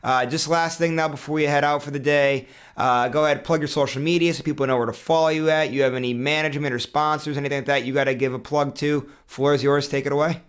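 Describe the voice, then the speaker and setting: Smooth-voiced male interview host. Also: fairly high pitch, steady tone, quick pace, few pauses. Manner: long rambling questions with fillers. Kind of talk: interview questions.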